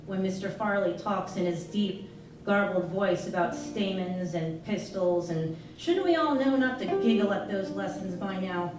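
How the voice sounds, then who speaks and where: A woman giving an expressive live reading of a first-person novel, narrating as a candid, troubled young girl. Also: lowish voice, background noise. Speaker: reader at a live literary performance. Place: live performance in an arts venue. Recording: echoey live room, distant mic, music. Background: music playing, guitar.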